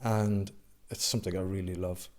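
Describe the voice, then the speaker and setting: An Irish man gives a casual interview in a low, mellow voice. His delivery is reflective and slightly hesitant. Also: steady tone, normal speed, slight background noise. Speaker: amateur actor, interviewee.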